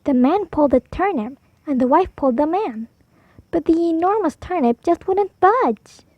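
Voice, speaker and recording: A woman storyteller reading a picture book aloud for preschoolers, animated, drawing out key words for emphasis. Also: extremely high pitch, moderate pace, no echo, muffled recording. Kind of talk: expressive read-aloud for preschoolers.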